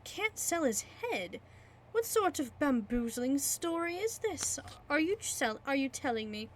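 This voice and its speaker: A woman's voice: sits high, very animated, unhurried, slight background noise. A woman voicing an indignant young male sailor in a put-on British accent. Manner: indignant, theatrical.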